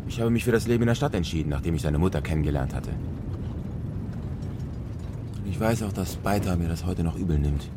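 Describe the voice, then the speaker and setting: A man's voice: low-pitched, racing, background noise. A man acting a firm but loving truck-driver father in a German children's radio drama, coaxing and admonishing. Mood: matter-of-fact.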